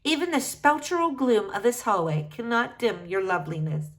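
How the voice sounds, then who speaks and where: A woman plays an earnest storybook boy with a high voice that swings widely up and down. He speaks grandly and formally, full of theatrical effusion and long words. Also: animated, moderate pace. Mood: earnest, effusive. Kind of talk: acted children's storybook dialogue.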